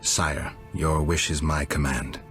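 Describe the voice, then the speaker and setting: Extremely deep-voiced stoic monster hunter. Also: male voice, fairly even intonation, slow, a bit bright, soft background music, exaggerated voice. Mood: grave. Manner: terse, dry, restrained. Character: stoic, blunt male monster-hunter for hire. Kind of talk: video game acting.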